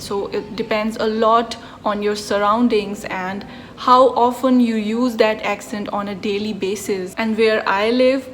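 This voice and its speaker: A woman's voice: mid-range voice, a little hiss or hum. A young woman from India, talking casually to the camera in a storytime vlog. Her accent blends Indian English with a style she picked up from Hollywood films. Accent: Indian English mixed with an acquired Hollywood-style accent. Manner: chatty, talks straight to the viewer. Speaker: vlogger.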